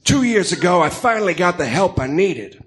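A man's voice: high-pitched, big pitch swings, normal speed, a bit bright. A man voicing a grandiose, theatrical Greek ex-villain tycoon in a comedic radio drama. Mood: breezy, self-assured. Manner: pompous, theatrical. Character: flamboyant Greek ex-villain tycoon, grandiose, smooth self-justifying showman.

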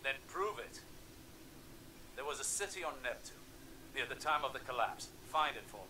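A man's voice: mid-range voice, expressive, normal speed, lightly acted. A man voicing a brash, impatient mage who demands answers in a video game cutscene. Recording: distant voice with noisy capture.

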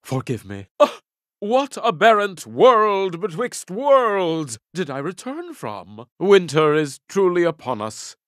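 A pompous alien Jedi master from a video game, speaks in a high-set male voice that swoops dramatically. The diction is florid and grandiose, every phrase theatrical. The recording is dry and close. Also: big pitch swings, slow pace, fairly bright tone, no room sound, exaggerated voice. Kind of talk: video game character voice clips.

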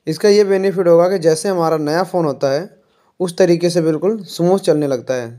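A man calmly walking viewers through a phone reset step by step, often filling pauses with "jo hai". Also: on the high side. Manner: unhurried, explanatory, fills pauses with "jo hai". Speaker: tech tutorial presenter.

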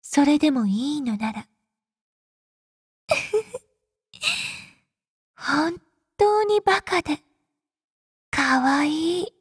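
Casting: haughty game femme fatale, young warrior, high-pitched, unhurried, teasing and possessive. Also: female voice, dry recording, studio quality. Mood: amused, fond. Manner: languid, teasing, coolly condescending. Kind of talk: Japanese game character voice lines.

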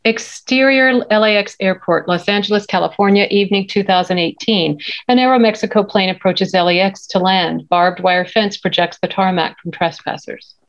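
A woman's voice: medium pitch, moderate pace, hardly any pauses. A middle-aged woman reading screenplay stage directions as narrator on a video call. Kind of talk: scripted narration at a table read. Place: indoor home room, video call. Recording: dull video-call audio.

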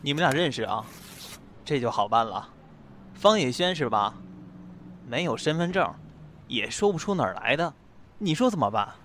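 A man's voice: medium pitch, very animated, moderate pace, pauses often, fairly bright tone, a little hiss or hum. A man acting a matter-of-fact police officer in an amateur Chinese audio drama. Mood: businesslike.

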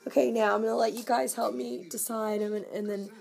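A woman with a hoarse, coughing voice, chatting casually to camera in a nursery at home and cooing softly over a baby doll. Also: on the high side, little pitch movement, brisk. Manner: gushing, coos softly to the doll. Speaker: reborn doll collector vlogger. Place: home nursery room, indoors. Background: Christmas music playing.

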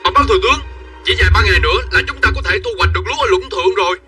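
An actor playing an excitable, loyal officer of an ancient Chinese army, with urgent declamatory delivery in an animated dub. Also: male voice, high voice, very fast, distant mic, soft background music. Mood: dutiful. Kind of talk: Vietnamese-dubbed historical animation acting.

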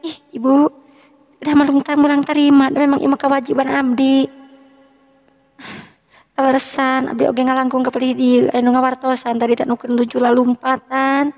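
A frantic older village woman cries out in a shrill, high falsetto, as a male storyteller puts her on. Her pleas stretch into long wails. A long reverb trails behind each line. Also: completely flat delivery, moderate pace, darkish tone, dull audio. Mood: pleading. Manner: wailing, pleading, drawn out.

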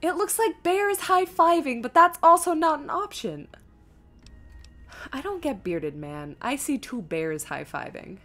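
A woman giving casual live game stream commentary, chatty and thinking out loud as she reads things aloud. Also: sits high, lively intonation, a little slow.

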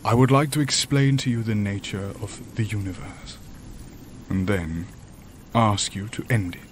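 An actor playing a grave, ancient demon from a higher dimension in a horror audio drama, speaking formally and deliberately. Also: male voice, a little hiss or hum, close to an everyday voice. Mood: courteous. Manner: formal, deliberate, solemn, eloquent.

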